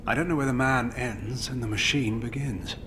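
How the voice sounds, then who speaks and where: An actor playing a defensive scientist in a video game, earnest and grave, explaining himself with emphasis. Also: male voice, mid-range voice, moderate pace, background noise, lightly acted. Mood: grave, explanatory. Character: defensive male scientist justifying his disastrous experiment. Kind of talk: dramatic video game dialogue acting.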